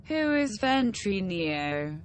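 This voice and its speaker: Fantasy game hero: composed, earnest, unhurried, lively intonation. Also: female voice, mid-range voice, slight background noise. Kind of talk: fantasy video game dialogue and narration.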